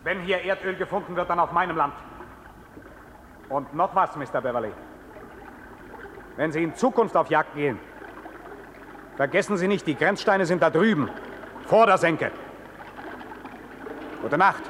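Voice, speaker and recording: Defiant proud neighbour, man, raised pitch, radio play delivery, hall reverb, faint noise. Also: a little slow, pauses often, fairly bright tone. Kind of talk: German radio play acting.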